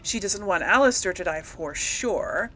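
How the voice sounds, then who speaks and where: Slow-paced, musing woman gamer. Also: on the low side, expressive, sharp tone, a little hiss or hum. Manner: hesitant, musing, amused. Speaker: gamer hosting a role-play let's play. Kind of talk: casual game commentary, role-playing dialogue aloud.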